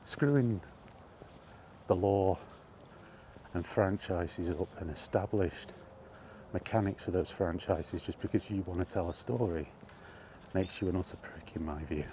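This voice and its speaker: A British man with a deep, dark-toned voice talks casually to his viewers as a film vlogger. He rambles bluntly, with exasperation and dry sarcasm. There is faint noise behind him. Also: measured pace. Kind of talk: casual opinionated film chat, rambling monologue.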